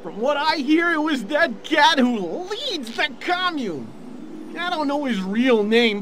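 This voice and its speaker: High-pitched drawling hippie stoner. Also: male voice, gravelly, background noise, full character voice. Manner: drawling stoner slang, jittery. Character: paranoid young male hippie stoner informant. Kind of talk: video game cutscene acting.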